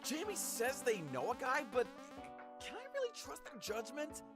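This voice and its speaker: A woman's voice: sits high, very animated, normal speed. A woman acting a chatty, skeptical young woman in a visual novel game. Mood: exasperated. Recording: loud music behind voice. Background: game music.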